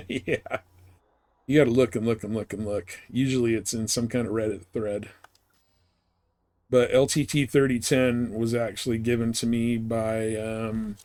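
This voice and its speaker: A man's voice: medium pitch, darkish tone. Casual rambling male game streamer. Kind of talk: casual live stream gameplay chat.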